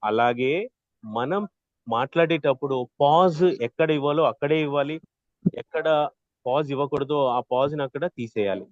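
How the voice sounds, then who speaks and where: A man teaching an interactive online class as a trainer, explaining with examples and often checking in with a quick "right?". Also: a little high, no echo, highs cut off. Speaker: voice modulation trainer.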